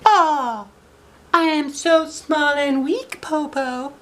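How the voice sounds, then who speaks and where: A man voicing a clever little girl in a storybook, in a sweetly pretending sing-song falsetto. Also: extremely slow, a bit bright, a little hiss or hum, full character voice. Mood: feigned weakness. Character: clever eldest little Chinese girl coaxing a wolf. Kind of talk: storybook read aloud.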